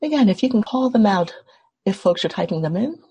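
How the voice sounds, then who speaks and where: A woman's voice: medium pitch, animated, a little fast, warm tone, husky. A woman from Trinidad leading an online workshop, putting questions to the group and explaining in an engaging way over a video call. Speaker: workshop facilitator.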